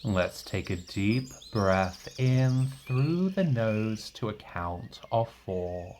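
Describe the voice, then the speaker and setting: A man with a fairly low voice guides a meditation at a very slow pace. He speaks gently and reassuringly in short, soothing instructions. Long pauses separate his phrases. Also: lively intonation, slight background noise.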